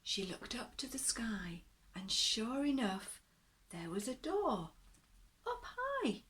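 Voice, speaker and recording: A woman reading a children's story aloud in a gentle, deliberate, expressive way, pausing to talk to her young listeners. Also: on the high side.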